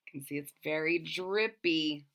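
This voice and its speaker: A woman's voice: sits low, measured pace, fairly bright tone. A woman reading a children's picture book aloud expressively, with explanatory asides as a storyteller.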